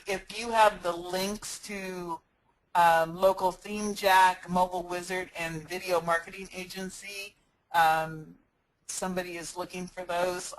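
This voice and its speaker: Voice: medium pitch, fairly bright tone, low-quality recording. Business coach, casual live chat, rambling, restarts, earnest. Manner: rambling, frequent restarts and fillers.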